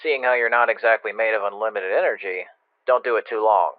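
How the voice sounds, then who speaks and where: A lowish male voice from an actor plays a sardonic military trainer in a game tutorial. It comes through a narrow radio filter, very dry. His delivery is casual and impatient. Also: brisk, dry recording. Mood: instructive. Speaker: tutorial instructor. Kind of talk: video game tutorial narration. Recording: thin, dry radio-like band-limited sound.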